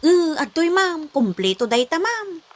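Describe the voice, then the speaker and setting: A woman's voice: very high-pitched, very animated, measured pace, full character voice. A woman with an Ilocano accent playing a cheeky, sassy housemaid who talks back in a comedy drama. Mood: cheeky, eager. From Philippines. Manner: sassy, giggly.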